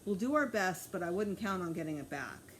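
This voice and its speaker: A woman voicing a brisk, matter-of-fact female transit cop in a children's storybook reading. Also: lowish voice, animated, a little hiss or hum, lightly acted. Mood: businesslike.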